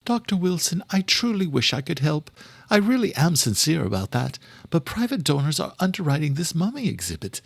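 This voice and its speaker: A man voicing a courteous but firm female museum director in audiobook dialogue, speaking in a formal, measured, official way. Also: fairly high pitch, sing-song, gravelly, breathy edge, no echo, clean studio sound, pitched above the performer's own voice, exaggerated voice.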